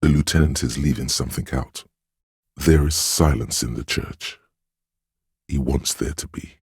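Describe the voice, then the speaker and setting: A man voicing a game's inner voice of empathy, a gentle, perceptive, sorrowful narrator who speaks in a measured, solemn, intimate way. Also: a bass voice, husky, dry recording, studio quality, full character voice. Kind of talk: scripted second-person game narration.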